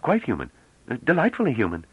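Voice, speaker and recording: A man reading an audiobook, voicing a gentle, courteous scholar who speaks in a mild, soothing way. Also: medium pitch, wildly swooping pitch, fast talker, husky, no echo, lo-fi sound, pitched above the performer's own voice, heavily acted. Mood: gallant.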